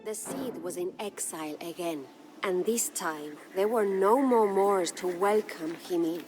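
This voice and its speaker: Woman acting a solemn, low-voiced medieval Spanish noblewoman over music. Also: close to an everyday voice. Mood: bitter, mournful. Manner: solemn, measured storytelling. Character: noble medieval Spanish wife, sorrowful, devoted, recounting her husband's legend. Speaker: game campaign narrator. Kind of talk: scripted dramatic storytelling narration. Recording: studio narration over prominent music.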